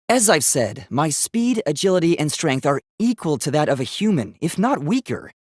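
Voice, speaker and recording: K1-B0, an earnest, lively-pitched young robot. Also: male voice, normal speed, breathy edge, dry recording, clean studio sound. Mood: defensive. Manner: formal, precise, articulate, earnest. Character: polite, earnest, logical young male robot. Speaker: video game character. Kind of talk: English video game voice acting.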